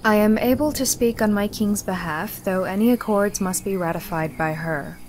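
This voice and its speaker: A woman's voice: medium pitch, a little mellow, faint music behind, lightly acted. A woman playing a young necromancer in a visual novel, speaking formally and with composure as a royal deputy. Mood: composed. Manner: formal, measured. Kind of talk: visual novel character acting.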